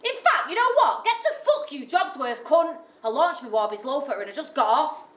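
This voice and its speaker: A woman's voice: a little high, fast talker, distant mic, close to an everyday voice. An actress performing a monologue as a volatile mother with bipolar disorder, raw and given to swearing. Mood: furious. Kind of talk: theatrical monologue. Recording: echoey stage recorded from afar.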